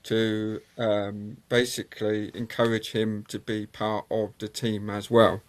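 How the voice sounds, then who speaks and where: A man, a guest on a motorsport show, telling long anecdotes with many ums and pauses. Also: on the low side, level tone. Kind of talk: conversational interview storytelling.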